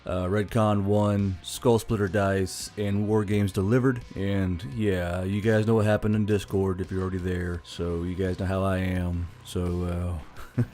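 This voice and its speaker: A man's voice: fairly low pitch, darkish tone. A man speaking casually as the host, introducing a story to his regular audience. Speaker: channel host. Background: background music.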